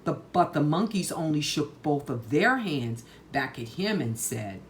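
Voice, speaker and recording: Slow, low-voiced woman reading a children's story. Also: very animated, slight background noise. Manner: deliberate, emphatic, dramatic. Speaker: storytime reader.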